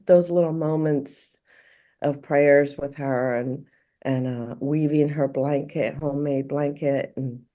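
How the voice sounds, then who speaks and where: A woman from Morocco, a podcast guest, speaking warmly and reflectively, halting often with fillers and restarts. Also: low-pitched. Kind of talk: casual interview conversation.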